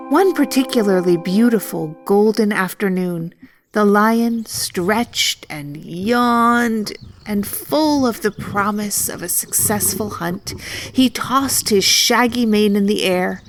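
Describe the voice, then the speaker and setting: Slow-paced woman telling a children's story. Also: mid-range voice. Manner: deliberate, expressive storytelling. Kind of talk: scripted children's story narration.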